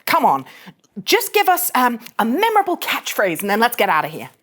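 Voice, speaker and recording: A woman plays a cocky young female ensign in a sci-fi comedy read. Her voice sits fairly high and moves around a lot. She teases with sarcastic, slangy bite. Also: huge pitch swings, brisk, fairly bright tone, exaggerated voice. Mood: impatient sarcasm. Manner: sardonic, slangy, teasing. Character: brash, sarcastic young female starship ensign, teasing sidekick. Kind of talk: table read of comic sci-fi game script.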